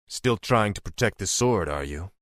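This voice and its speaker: An actor playing an obsessed, vengeful young warrior prince in a fantasy game, speaking with theatrical, deliberate intensity. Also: male voice, sits low, expressive, fairly bright tone, no room sound, studio quality. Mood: scornful. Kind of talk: fantasy video game acting.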